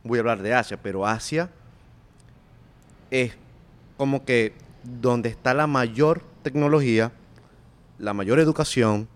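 A young man chatting as a podcast guest, easygoing and slangy, often trailing into a low mutter. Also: a little slow, pauses often. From Korea. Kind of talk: casual chat.

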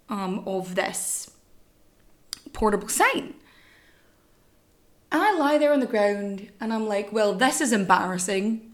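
A woman hosting a history podcast, telling stories in a chatty, swearing, self-mocking way, her voice still a little croaky. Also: a little high. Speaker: podcast host. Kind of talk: casual comic monologue.

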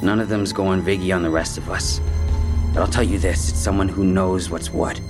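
A man's voice: low-pitched, fairly even intonation, normal speed, natural voice. An actor in an audio drama playing a defensive young male street robber, talking in clipped slang. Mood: wary, insistent. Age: young adult. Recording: music bed behind the voice.

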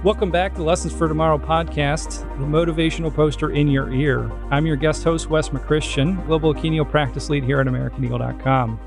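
Casual podcast host full of fillers. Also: male voice, fairly high pitch, steady tone, brisk, vocal fry, hardly any pauses, music in the background. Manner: casual, many fillers like "you know". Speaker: guest host.

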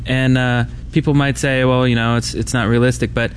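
A man's voice: medium pitch, flat delivery, fairly quick. A man chatting informally as co-host of a gaming podcast. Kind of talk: casual gaming podcast chat. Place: live on an open convention floor. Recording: busy convention floor noise. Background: convention ambience and loudspeaker.

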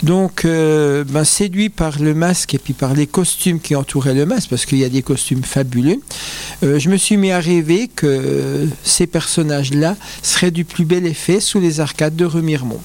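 A man's voice: fairly high pitch, moderate pace, a little mellow. An older man from the Vosges in France, telling his story as an interview guest in a reflective, explanatory, sometimes hesitant way. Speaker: interview guest, carnival founder.

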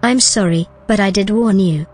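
A woman acting in an audio drama as a calm, matter-of-fact artificial intelligence. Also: medium pitch, moderate pace, husky, close to an everyday voice. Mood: coolly firm.

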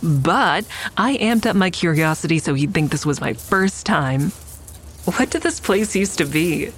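A woman's voice: lowish voice, expressive, unhurried, slightly rough. An actress playing a curious young woman who narrates her story in an intimate, wry way in an audio drama. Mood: confiding. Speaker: narrator and lead character. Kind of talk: audio drama first-person narration and natural dialogue. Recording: faint music behind the voice.

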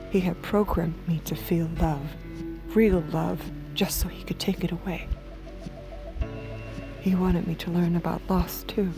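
Music plays under a slightly low, hushed female voice. She is a haunted android girlfriend confessing her story in an intimate audio drama, often sinking toward a whisper. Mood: sorrowful, confessional. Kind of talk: intimate first-person audio drama narration and dialogue. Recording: music behind the voice.

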